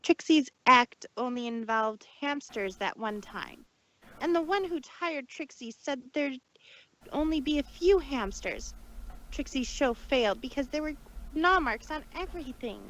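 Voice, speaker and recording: A woman's voice: sits high, expressive, a little slow, a bit bright, poor audio. A woman playing a vain female pony magician in a story reading, speaking in a theatrical, emphatic manner. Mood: indignant. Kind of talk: fan fiction dramatic reading.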